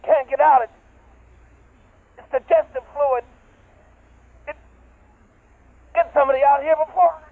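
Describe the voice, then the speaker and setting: Male field surveyor, unhurried, dry close radio-transmission sound, tinny 1950s sci-fi radio play. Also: extremely high pitch, steady tone, creaky, no room sound, full character voice. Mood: panicked. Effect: throat-mic radio transmission. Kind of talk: 1950s radio drama acting. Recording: narrow, tinny old radio recording.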